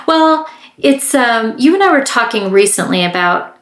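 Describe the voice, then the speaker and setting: A woman interviewing a guest in a relaxed conversation, asking friendly follow-up questions. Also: on the high side, moderate pace, reverberant. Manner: encouraging, asks follow-up questions.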